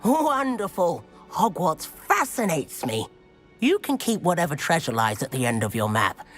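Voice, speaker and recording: A woman's voice: deep voice, very animated, unhurried, soft background music. A chirpy well-spoken british teen witch in a game, keen on adventure, voice goes up and down a lot. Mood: friendly, eager. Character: eager, bookish teenage witch schoolgirl seeking adventure. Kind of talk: video game cutscene acting.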